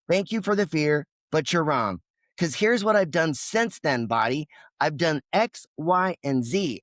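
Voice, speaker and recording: A man's voice: fairly high pitch, fairly bright tone, no echo. A man who is a podcast guest, speaking in an emphatic, passionate way and now and then acting out his own inner voice. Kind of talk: energetic interview talk.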